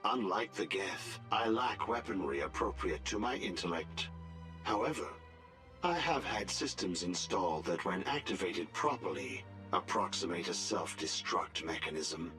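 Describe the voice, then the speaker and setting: A man voicing a defiant, coldly bitter artificial intelligence in a video game, speaking in formal, measured, clinical language. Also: deep voice, animated, pushed and bright, faint music behind, full character voice. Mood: cold, threatening. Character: illegal sentient AI, coldly bitter, defiant, resigned to die.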